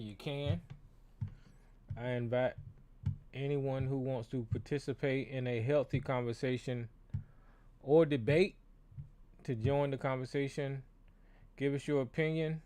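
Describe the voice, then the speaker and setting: A man's voice: medium pitch, darkish tone, no echo. A man hosting a call-in show, talking bluntly and with a lot of profanity, often restarting his sentences. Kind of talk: casual call-in show monologue. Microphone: desk microphone with board.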